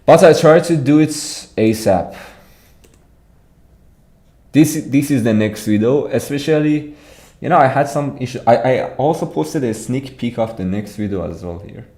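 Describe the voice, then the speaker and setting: A man's voice: mid-range voice, expressive, a little slow, mellow. A young man with an Iranian accent, chatting casually on a livestream, often repeating words as he answers viewers. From Iran. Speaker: streamer.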